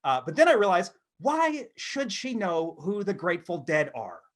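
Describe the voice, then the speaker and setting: A man in his thirties with a somewhat high voice. He talks like a comedy podcast co-host, wry and self-deprecating, with a halting, casual delivery. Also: big pitch swings. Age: 30s. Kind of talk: casual comedic monologue and chat.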